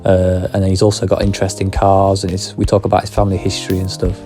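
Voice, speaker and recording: A man's voice: low-pitched, completely flat delivery, quick pace, mellow. A man hosting a podcast, giving a casual, hesitant introduction with lots of ums and uhs. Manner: hesitant, filler-heavy. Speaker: podcast host. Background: faint guitar music.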